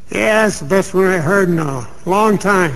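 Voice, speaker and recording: An amused fellow in a radio play, chuckling as he talks. He speaks extremely slowly, in a high voice for a man. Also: creaky, fairly bright tone, exaggerated voice, low-quality recording. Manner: slow, chuckling. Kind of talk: radio drama acting.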